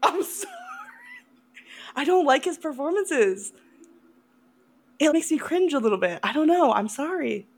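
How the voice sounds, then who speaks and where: A woman's voice: high-pitched, sing-song, a little slow, long pauses. A woman co-hosting a podcast in casual film chat, talking in a blunt, opinionated, giggly way. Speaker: podcast co-host.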